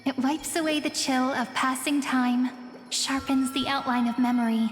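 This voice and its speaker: Actress as young game heroine, high voice, poetic, confident, playful, long reverb, music underneath. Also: female voice, steady tone, moderate pace, natural voice. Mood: tender farewell. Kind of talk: dramatic video game voice acting.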